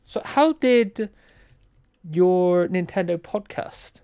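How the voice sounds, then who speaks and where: A man hosting a relaxed conversational podcast, thinking aloud as he puts questions and ideas to a guest. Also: sits high, takes it slowly, clear, resonant tone. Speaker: podcast host, interviewer. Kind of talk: casual conversational interviewing.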